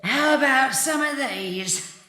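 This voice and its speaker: A put-upon older maidservant from a children's audio play. She complains at an unhurried pace in a sharp, high voice that echoes around her. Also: expressive, exaggerated voice. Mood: calling out. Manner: complaining, muttering to herself, calling out. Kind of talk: children's audio drama acting. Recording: roomy cellar-like echo.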